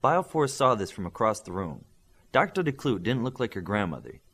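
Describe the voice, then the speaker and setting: A man's voice: lowish voice, big pitch swings, moderate pace, a bit bright, breathy edge. A man reading a scripted fiction story as an audiobook narrator.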